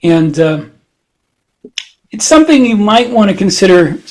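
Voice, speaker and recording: A man co-hosting a casual podcast, giving practical advice in a measured, conversational way. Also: high voice, big pitch swings, rough recording. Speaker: podcast co-host.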